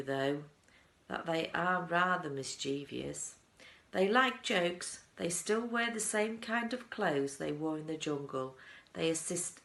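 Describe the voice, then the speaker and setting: Brief: eccentric storybook chocolatier, played by a woman, proud, emphatic, unhurried, in a roomy space. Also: sits low, vocal fry, a bit bright, heavily acted. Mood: proud explaining. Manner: proud, emphatic, relishing the tale.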